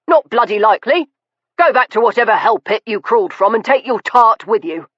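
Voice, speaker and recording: A woman voicing a furious, blustering older man who shouts and mocks in a heated rush, on a dull, dry recording. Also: mid-range voice, lively intonation, dry recording, pitched above the performer's own voice. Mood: blustering rage. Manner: shouting, mocking, fast and heated.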